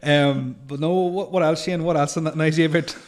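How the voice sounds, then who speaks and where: A man's voice: fairly high pitch, fairly even intonation, normal speed. A man hosting a casual banter podcast, rambling and crude, laughing often. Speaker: podcast host.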